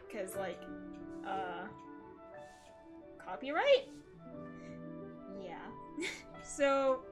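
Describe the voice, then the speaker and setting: Young woman, higher-pitched voice, casual game stream chatter, offhand, hesitant, clear game music in background. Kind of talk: casual live-stream chat and reactions.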